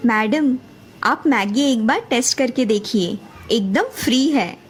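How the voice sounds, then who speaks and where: A woman's voice: fairly high pitch, lively intonation, slow pace. A woman voicing a polite, eager young saleswoman in a cartoon story.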